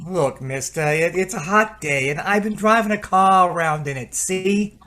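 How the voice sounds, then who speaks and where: A man's voice: high voice, moderate pace, pushed and bright. A man playing a weary, exasperated maintenance crew chief in a radio drama, grumbling and sardonic. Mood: tired, irritated.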